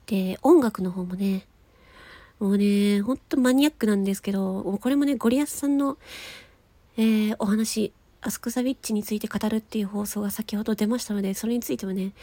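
A woman's voice: medium pitch, warm tone, no echo. A woman podcast host chatting casually and enthusiastically, with emphatic, giggly delivery. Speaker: podcast host and amateur voice actress.

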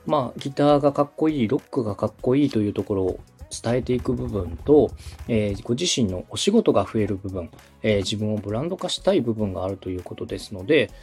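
A man's voice: on the low side, expressive, quick pace, hardly any pauses, a little mellow, slightly rough, faint music behind. A man from Osaka hosting a podcast, coaching in a polite, methodical way as he explains things. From Osaka, Japan. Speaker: podcast host and radio director. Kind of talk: structured coaching conversation.

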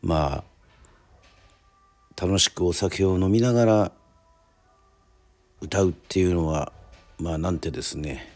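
A man hosting and narrating a radio drama, speaking in polite, formal, measured storyteller phrasing. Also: low voice, a little mellow, soft background music.

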